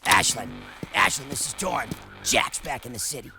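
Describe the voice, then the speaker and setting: A man playing a gruff, wry resistance commander in a video game, speaking in terse military briefings with dry humor. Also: medium pitch, moderate pace, bright, forceful tone, soft background music. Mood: urgent. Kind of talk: video game cutscene acting.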